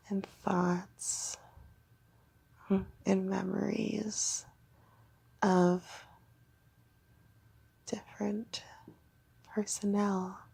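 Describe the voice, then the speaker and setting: A woman voicing a creepy, teasing fox girl creature held in containment, whispering slowly in an ASMR horror roleplay. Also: medium pitch, creaky, darkish tone, breathy edge, heavily acted, rough recording. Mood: secretive, sly. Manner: slow whispering, sinister teasing.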